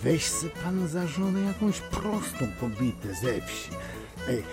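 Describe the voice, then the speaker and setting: A man's voice: a little high, very animated, a little slow, music in the background. A man playing a blunt, jovial, meddling town magistrate in a radio play, with folksy, teasing speech. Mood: teasing, persuasive.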